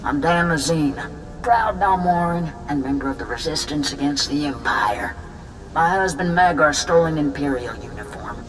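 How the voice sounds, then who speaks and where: A woman's voice: low-pitched, moderate pace, recorded from a distance, faint music behind, lightly acted, rough recording. A woman playing a tough, proud, middle-aged resistance fighter in a video game, blunt and hard-bitten. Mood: wary, grieving. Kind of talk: video game cutscene dialogue.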